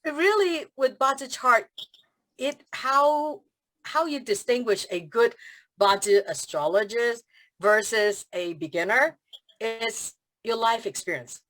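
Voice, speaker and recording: A woman from Hong Kong, a feng shui consultant chatting as a guest over a video call, telling stories with animated quoted voices and frequent laughter. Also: on the high side, slow, low-quality recording. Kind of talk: casual interview chat.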